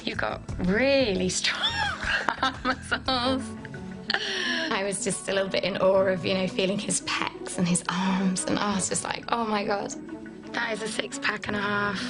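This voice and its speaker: A woman chats in a middling voice, expressive and giggly. Music plays clearly behind her. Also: mid-range voice, measured pace. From Leeds, England. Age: 30s. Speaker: primary school teacher, dating contestant.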